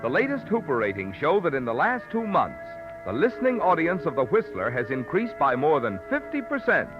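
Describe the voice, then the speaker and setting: Old time radio announcer guy, emphatic sales pitch, pitch all over, dull recording. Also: wildly swooping pitch, fairly quick, over music. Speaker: announcer, sponsor spokesman.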